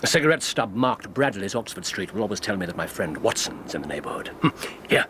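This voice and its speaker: An English actor playing a brilliant, self-assured detective in a radio drama, with a precise, mercurial, teasing manner. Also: male voice, fairly low pitch, big pitch swings, a little fast, background noise. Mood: teasing, deductive.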